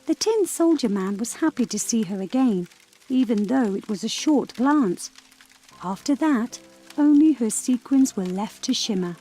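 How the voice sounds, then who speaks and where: A woman storyteller narrating a scripted fairy tale for children in a measured, expressive way. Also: a little high, slightly rough.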